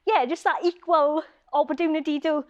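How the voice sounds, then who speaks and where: A woman's voice: sits high, fairly even intonation, moderate pace, muffled recording. A woman speaking earnestly and conversationally as a campaigning guest in an interview.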